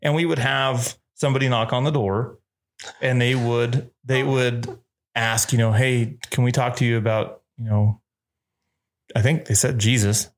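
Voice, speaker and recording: A man's voice: medium pitch, steady tone, a little slow. A man hosting a casual interview, asking rambling questions and joking between them. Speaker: podcast host.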